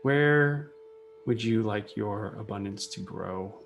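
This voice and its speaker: A man leading a guided meditation, speaking in short unhurried phrases with pauses between them. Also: lowish voice, gravelly, slight background noise. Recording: soft music behind the voice. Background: meditation music.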